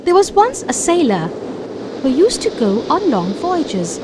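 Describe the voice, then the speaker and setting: A woman narrating a children's storybook in a deliberate, expressive, scripted way. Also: high-pitched, music in the background.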